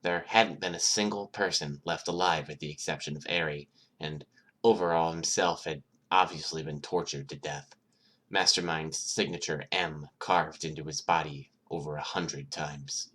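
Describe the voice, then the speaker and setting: Low-pitched voice, audiobook narrator, scripted fiction reading, storytelling delivery. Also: steady tone, moderate pace, fairly bright tone.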